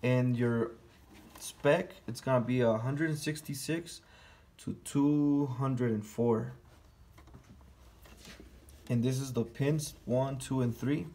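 A man's voice: mid-range voice, slow pace, long pauses, mellow. A man walking through a car sensor test in a hands-on repair tutorial, talking casually step by step while he works in a garage. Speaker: auto mechanic.

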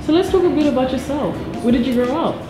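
An upbeat woman asks quick, simple questions and answers with short replies. Her voice sits a little high and sounds roomy. Music plays behind her. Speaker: interviewer. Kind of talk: casual interview questions.

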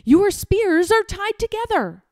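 Plucky young storybook boy, played by a woman, urgent, defiant, exclaiming, raised pitch. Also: big pitch swings, unhurried, a little mellow, no echo, studio quality, heavily acted. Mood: taunting. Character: brave, quick-witted young boy hero, defiant.

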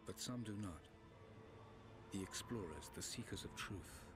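A male actor voices a solemn narrator for a cinematic video game. His voice is very low and grave, and he speaks in measured, reflective phrases. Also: music in the background, lightly acted.

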